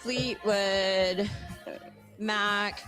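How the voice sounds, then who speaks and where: A woman with a Southern US accent and a raspy voice, chatting to viewers in a loose, theatrical way about doing karaoke. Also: medium pitch, steady tone, drawn-out words. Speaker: streamer in a played clip. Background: music playing.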